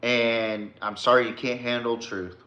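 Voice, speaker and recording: A man's voice: mid-range voice, flat delivery, measured pace, sharp tone, reverberant, muffled recording. A man hosting a casual solo podcast, talking bluntly and with casual swearing into a podcast microphone. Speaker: podcast host.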